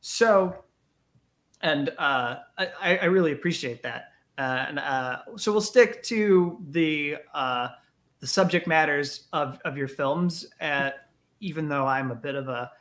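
A man hosts an interview and works his way through long questions, pausing with fillers and restarting often. His voice sits a little on the high side for a man. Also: a bit bright. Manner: halting, hesitant questions with many fillers. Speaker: interview host. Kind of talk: podcast interview.

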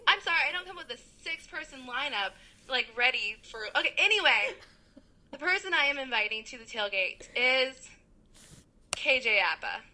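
A young woman co-hosting a podcast, full of dramatic, giggly complaints. Her voice is very bright and slightly high. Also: animated, moderate pace, poor audio. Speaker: podcast co-host. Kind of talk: casual podcast chat.

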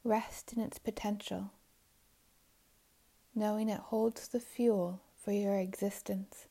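A woman leading a guided meditation in a soothing, unhurried manner, with long pauses and soft, breathy phrase endings. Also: medium pitch, steady tone.

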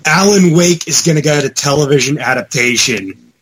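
A man's voice: on the high side, expressive, moderate pace, fairly bright tone, rough recording. A man talking casually and enthusiastically as a podcast panelist, with some swearing. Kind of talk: casual chat about movies and games.

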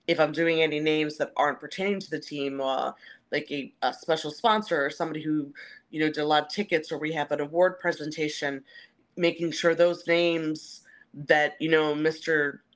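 A woman's voice: deep voice, steady tone, moderate pace. A woman who works as a stadium announcer, answering interview questions in a conversational, explanatory way.

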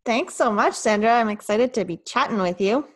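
A woman's voice: a little high, normal speed. Laid-back woman in her late twenties. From Ottawa, Canada. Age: 20s. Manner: relaxed, laughing, lots of "like". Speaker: guest. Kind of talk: casual interview chat.

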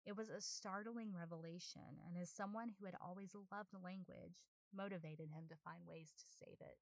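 A woman narrates a documentary feature in a measured, composed read. Her voice is low with some gravelly texture. The sound is dry and close. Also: no room sound, poor audio. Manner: even, composed reading. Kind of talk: scripted narration.

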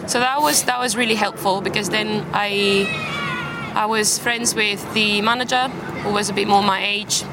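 A woman's voice: medium pitch, fairly even intonation, normal speed. Chatty young woman outdoors in a park. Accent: British English. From Spain. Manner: chatty, laughs easily, self-deprecating. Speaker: interview guest. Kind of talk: casual chat. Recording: outdoor street noise.

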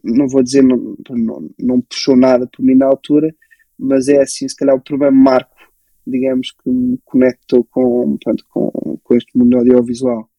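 A young man with a European Portuguese accent talks casually as an interview guest. His voice is very dark and mellow, with a gravelly creak and a husky edge. He tells his story in a conversational way. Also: mid-range voice, flat delivery, moderate pace, no room sound, rough recording. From born in Belgium, grew up in Portugal from age six. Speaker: interview guest, photographer and filmmaker.

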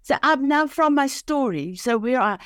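A woman's voice: high-pitched, expressive, moderate pace, smooth, no echo, studio quality. An older woman from South Africa, a native Afrikaans speaker with a South African accent, telling her personal story as a podcast guest in an earnest, heartfelt way with many restarts. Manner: earnest and heartfelt, with frequent restarts and simple phrasing.

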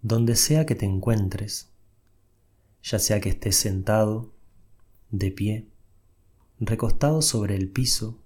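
Slow, breathy man guiding meditation. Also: lowish voice. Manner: soft, unhurried instructions with long pauses. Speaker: meditation guide. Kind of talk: guided meditation.

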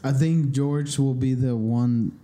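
Relaxed, rambling man with warm voice. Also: medium pitch, slow. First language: Spanish. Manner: relaxed, rambling, profane talk. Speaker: podcast co-host. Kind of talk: casual chat among friends.

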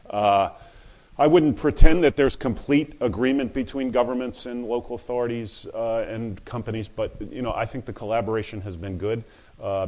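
A man speaking on a panel in unscripted remarks, halting, with many fillers and restarts. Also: low-pitched, a little mellow.

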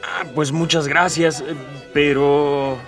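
A man's voice: on the high side, fairly even intonation, takes it slowly, fairly bright tone, far from the mic, faint music behind. An actor with a Mexican accent playing a humble everyman hero in a radio drama, talking colloquially and a bit hesitantly. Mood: polite, hesitant. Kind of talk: scripted radio drama acting.

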